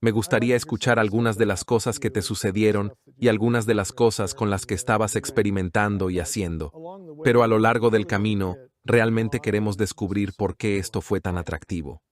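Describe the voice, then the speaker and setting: A man hosts an interview show in a fairly low voice. He welcomes the audience and steers his guest with curious, guiding questions. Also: fairly even intonation, a little fast. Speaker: interview host. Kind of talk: hosting an interview.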